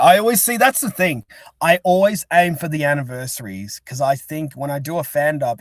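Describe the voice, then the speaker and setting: A man's voice: medium pitch, dry recording. A man chatting casually as an interview guest, talking in a rambling, chatty way. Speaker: interview guest, fan-dub creator. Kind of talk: casual chat.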